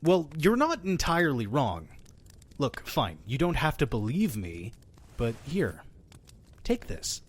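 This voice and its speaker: A man's voice: medium pitch, huge pitch swings, slow pace, slightly rough, exaggerated voice. A man playing a friendly, mysterious innkeeper who tells stories in an audio drama, earnest and persuasive with a folksy touch. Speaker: innkeeper storyteller. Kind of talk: audio drama storytelling and dialogue.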